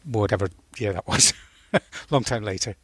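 A man in his sixties from Northern Ireland, reflecting as an interview guest in a thoughtful, halting way, searching for words. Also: on the low side, fairly bright tone, husky, dry recording.

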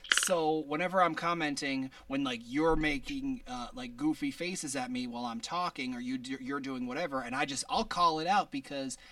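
A man with a high-pitched voice hosting a casual podcast, rambling with many restarts and laughs. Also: moderate pace, hardly any pauses, sharp tone, recorded from a distance. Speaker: podcast host.

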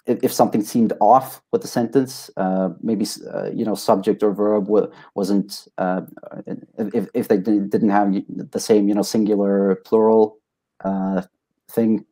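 Mellow, low-voiced young Swedish man, hesitant. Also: level tone. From Sweden. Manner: hesitant, halting, modest. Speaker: interview guest, test taker. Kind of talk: casual interview answers.

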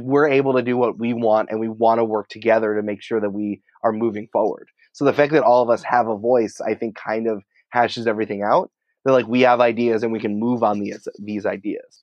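A man with a fairly low voice talks as an interview guest in an informal, explanatory manner. His phrasing is colloquial and conversational. The recording is dry and somewhat dull, with a narrowed band. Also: a little mellow, no room sound. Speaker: podcast company creative head, interview guest.

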